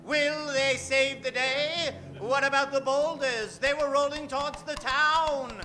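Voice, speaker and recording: A male host narrates a radio serial spoof. His voice is very high, bright and forceful, with theatrical mock-seriousness and music in the recording. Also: steady tone, measured pace.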